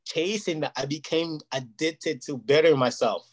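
A man's voice: a little high, moderate pace. A man whose first language is Portuguese, talking earnestly and at length as an interview guest, often checking "you know what I mean". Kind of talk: casual interview chat.

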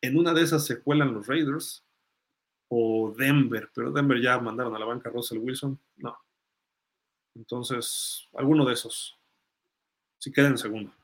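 A man hosts a live sports fan show in a casual, conversational way. His voice sits at a medium pitch. He reasons things through aloud as he responds to comments from listeners. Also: normal speed, pauses often.

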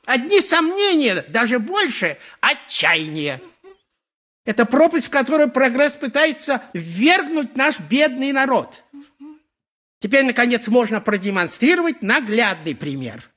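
An actor playing a pompous, lecherous high priest in a theatrical radio play, speaking in an oratorical, unctuous way. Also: male voice, very animated, measured pace, sharp tone, heavily acted. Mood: indignant, scheming. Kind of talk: 1980s Soviet radio play acting.

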